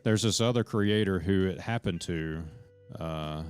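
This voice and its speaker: A deep, low-voiced man talks loosely to his stream chat, hopping between thoughts and cursing as he goes. Faint guitar music plays behind him. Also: measured pace. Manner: rambling, profane, jumps between points; talks to chat members directly. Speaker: live streamer. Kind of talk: rambling live-stream chat to viewers.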